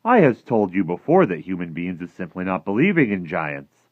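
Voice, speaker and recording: A man voicing a huge, gentle, gloomy giant who talks in muddled grammar and invented words for a children's storybook. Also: low voice, very animated, moderate pace, no echo, dull audio. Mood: scornful, doubting. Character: huge, gentle, gloomy friendly giant with garbled rustic speech. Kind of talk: animated children's storybook character reading.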